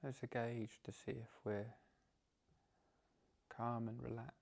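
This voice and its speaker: A man leading a guided meditation as a teacher, speaking calmly and without hurry, with long pauses between phrases. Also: mid-range voice, vocal fry.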